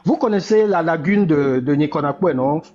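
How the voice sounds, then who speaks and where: A man's voice: on the high side, animated, normal speed, lo-fi sound, over loud music. Emphatic man in his forties. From Togo. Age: 40s. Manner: emphatic, repeats key phrases, frequent restarts. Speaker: commentator on a live discussion. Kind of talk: impassioned political talk.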